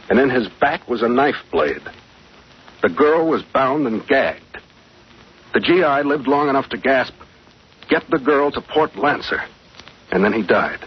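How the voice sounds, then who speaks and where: An actor playing a confident, level-headed adventurer captain in a 1940s radio drama. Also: male voice, medium pitch, husky. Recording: muffled, noisy old radio recording.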